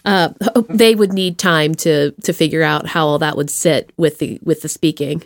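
A woman hosting a podcast in a casual chat, with playful and expressive reactions. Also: low-pitched, moderate pace, no room sound, clean studio sound. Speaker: podcast host.

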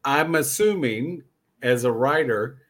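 A man's voice: medium pitch, lively intonation, slow pace, fairly bright tone. A man hosting a conversational podcast interview, putting questions to guests and repeating phrases for emphasis. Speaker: podcast host interviewing writers.

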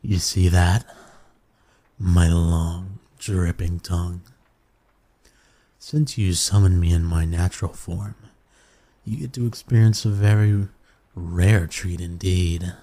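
A man voicing a seductive, smug incubus demon in an intimate ASMR roleplay, teasing and coaxing his listener with pet names in a husky voice. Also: fairly even intonation, slow pace, pauses often, mellow, exaggerated voice. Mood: seductive, boastful. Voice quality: low, breathy, husky. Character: seductive male incubus demon, smug, dominant, soothing lover.

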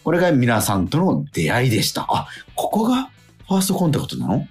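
A man hosting a radio show, chatting casually and reading out letters in a polite, animated way with frequent interjections. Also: mid-range voice, fairly quick, husky. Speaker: radio show host and actor. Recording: music playing faintly behind. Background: background music.

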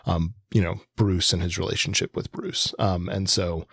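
A man's voice: low voice, level tone, normal speed, gravelly, a bit bright, slightly rough, no room sound, studio quality. A man co-hosting a podcast, talking casually about comics and often stopping to qualify what he says. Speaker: podcast co-host. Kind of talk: casual conversational commentary on comics.

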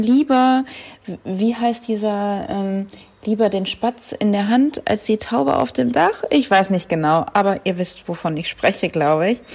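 A woman in her late thirties hosts her own podcast, talking in a relaxed, chatty way full of fillers. Her voice is mellow with a gravelly edge, and she takes her time. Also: mid-range voice. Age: 30s. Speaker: podcast host. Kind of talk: casual solo podcast talk.

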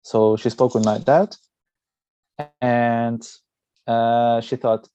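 A man with a Portuguese accent giving a conversational personal account in an interview, pausing haltingly mid-phrase. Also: mid-range voice, flat delivery, warm tone, clean tone, muffled recording. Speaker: interviewee, amateur karate practitioner.

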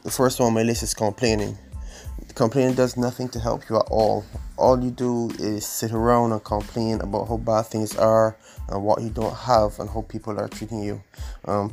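Congested male podcast host, low flat gravelly voice, halting with frequent ums. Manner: halting, many ums and restarts. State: congested from allergies. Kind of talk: solo motivational podcast talk.

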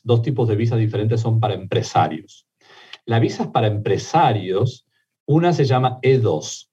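A man's voice: mid-range voice, warm tone, slightly rough, muffled recording. A middle-aged man with an Argentine accent, a guest who explains methodically in an interview, with frequent fillers and restarts. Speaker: immigration lawyer guest.

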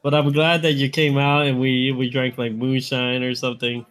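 A man's voice: medium pitch, little pitch movement, normal speed, fairly bright tone, a faint crowd. A young man co-hosting a casual podcast, stumbling over his words and giggling as he talks. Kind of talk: casual chat.

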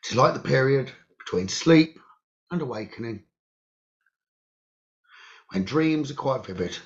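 A man with a mid-pitched voice leads a guided meditation on a slightly dull, lo-fi recording. He speaks in measured, hushed phrases, leaving pauses between gentle instructions in a soothing style. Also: animated. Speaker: meditation teacher leading a guided meditation.

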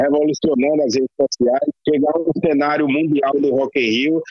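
A man's voice: a little high, steady tone, moderate pace, no echo. Man, Northeastern Brazilian potiguar accent, passionate, long answers, muffled, dry. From Rio Grande do Norte, Brazil. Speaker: bass player guest. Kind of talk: interview answers.

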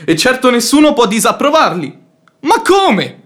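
An actor playing a proud, wounded young gentleman in love in a classic radio comedy, theatrical, indignant and impetuous. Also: very high-pitched, very animated, moderate pace, heavily acted.

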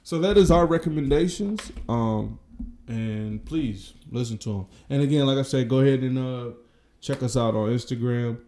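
A man co-hosting a casual podcast, joking and relaxed, with lots of filler words. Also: medium pitch, normal speed. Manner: joking, laid-back, lots of "uh". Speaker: podcast co-host. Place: indoor room, across from co-host. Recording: echoey room.

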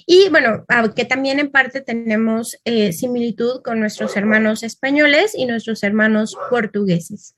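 A woman's voice: fairly high pitch, normal speed, hardly any pauses, fairly bright tone, no room sound. A Mexican woman giving an informal lecture, talking conversationally and thinking aloud with small fillers.

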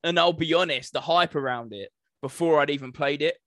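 A man's voice: on the high side, a little fast, a bit bright, dry recording, studio quality. A man chatting casually as a podcast co-host. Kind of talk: casual chat.

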